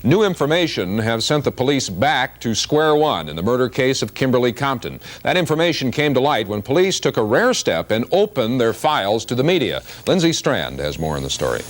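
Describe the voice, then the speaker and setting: A man anchors a 1980s television newscast. His voice is medium in pitch and moves up and down in a lively way as he reads. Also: a little fast, hardly any pauses. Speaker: news anchor. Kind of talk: 1980s broadcast news read.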